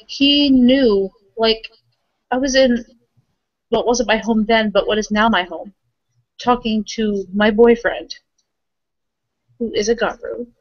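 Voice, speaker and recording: A woman's voice: on the high side, no room sound. A woman playing in a tabletop roleplay, chatting casually in character, on dull, lo-fi audio.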